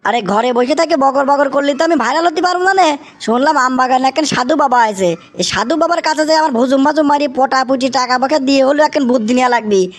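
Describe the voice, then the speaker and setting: A man with a Bangladeshi accent voicing an excitable young cartoon bachelor desperate for fame, whiny and pleading, repeating himself emphatically. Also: extremely high pitch, quick pace. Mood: excited scheming. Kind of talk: exaggerated comic cartoon acting.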